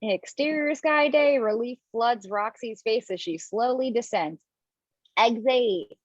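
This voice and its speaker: A woman reads stage directions aloud from home over a dull, low-fi mic. Her voice sits fairly high and sounds close and dry. She moves through the script briskly. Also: no echo. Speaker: script reader. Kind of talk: table read of stage directions and a role. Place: home, video call.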